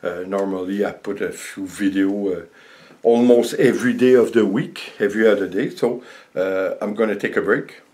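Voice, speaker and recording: An older man, around sixty, a native French speaker with a French accent, chatting casually to camera in a halting, rambling way as a vlogger in his home studio. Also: lowish voice, darkish tone. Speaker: vlogger and painter. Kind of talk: casual vlog chat to camera. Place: small home art studio indoors.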